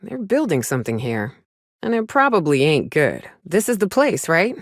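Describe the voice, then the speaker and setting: An actress voicing a wry, plain-spoken frontier huntress with a folksy, sardonic manner in a video game. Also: female voice, sits low, huge pitch swings, moderate pace, no echo, studio quality, heavily acted. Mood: uneasy, wry. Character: gruff, wry frontier woman, monster hunter and loyal companion. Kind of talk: video game companion dialogue.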